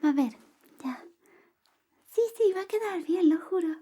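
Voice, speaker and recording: A woman whispering softly and gently in an ASMR roleplay, playing a friend who grooms you. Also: extremely high pitch, animated, measured pace. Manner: soft whispering, close and gentle.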